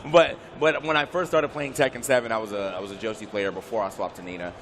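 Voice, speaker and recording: A man's voice: lowish voice, fairly even intonation, fast talker, a bit bright. A man hosting a live fighting-game tournament, chatty and upbeat, with fillers. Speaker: tournament host.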